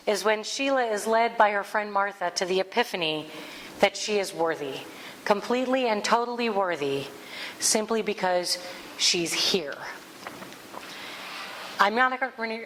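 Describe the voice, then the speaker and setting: A woman giving a heartfelt spiritual talk to a congregation as its minister, speaking with earnest warmth and reading passages aloud. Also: mid-range voice, long reverb tail, slight background noise, low-quality recording.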